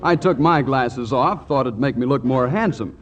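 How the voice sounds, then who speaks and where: A man's voice: medium pitch, normal speed. A man working as a polished, emphatic commercial announcer in scripted 1940s radio advertising. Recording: muffled 1940s radio, faint music.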